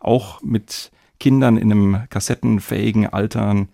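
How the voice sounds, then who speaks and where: Male podcast host, low register with fry, conversational interviewer. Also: steady tone, normal speed, dark tone, no room sound, clean studio sound.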